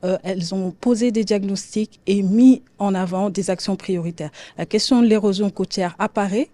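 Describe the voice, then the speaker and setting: A woman's voice: mid-range voice, moderate pace, warm tone, no room sound. A woman giving a formal, explanatory presentation as a workshop speaker. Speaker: workshop speaker representing an intercommunal body.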